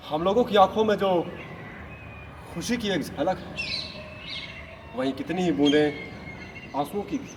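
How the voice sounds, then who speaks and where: A man playing a newly crowned king who is formal, grieving yet self-satisfied, in a deliberate, declamatory stage monologue with dramatic pauses. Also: high voice, animated. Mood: solemn, measured grief. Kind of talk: amateur theatrical monologue in Hindi translation. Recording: noisy distant recording with outdoor ambience.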